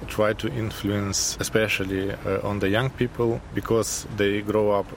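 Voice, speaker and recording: Young male lawyer; low, explanatory, pauses with fillers, faint outdoor noise. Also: level tone. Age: young adult. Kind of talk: interview answers.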